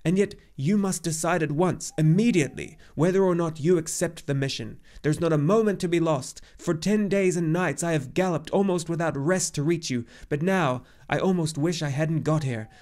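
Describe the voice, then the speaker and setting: A man's voice: medium pitch, moderate pace, a little mellow, lightly acted. A man voicing a very old, grave centaur in an audiobook story, with an emphatic, exclamatory manner. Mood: agitated, indignant. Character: very old agitated centaur messenger, grave and weary.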